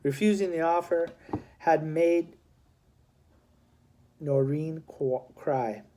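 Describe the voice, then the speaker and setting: A man's voice: fairly high pitch, slow pace, warm tone, slight background noise. A man reading a historical novel aloud as scripted storybook narration. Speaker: audiobook reader.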